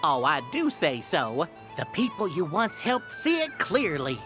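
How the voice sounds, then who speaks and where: Earnest grand little clockwork person, hugely swooping voice, game music behind. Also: female voice, lowish voice, huge pitch swings, a little slow. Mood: earnest, uplifting. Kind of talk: video game voice acting.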